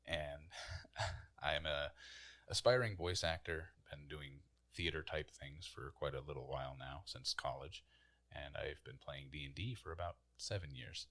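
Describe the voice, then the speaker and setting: A man's voice: extremely low pitch, a little slow, fairly bright tone. A man in his twenties, a player on a tabletop game podcast, speaking with some theatrical flair. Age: 20s.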